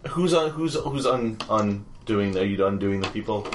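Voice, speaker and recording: A man's voice: on the low side, animated, normal speed, background noise. A man running a tabletop game as game master, narrating with lots of restarts and fillers and laughing at his own descriptions.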